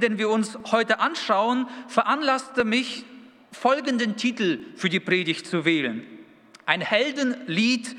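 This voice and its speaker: A man in his thirties from Kazakhstan, a youth pastor giving a sermon, chatty with fillers at first, then reading a passage slowly and with emphasis. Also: high voice, a bit bright. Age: 30s. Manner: conversational with many fillers, then reads aloud slowly and deliberately. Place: church hall. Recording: long hall reverb.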